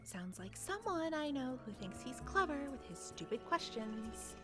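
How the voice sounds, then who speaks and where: A woman's voice: high-pitched, very animated, a little slow, over music. A woman playing a dry, sarcastic villain who serves as meeting secretary in a comedic radio play. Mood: sardonic. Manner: dry, sardonic.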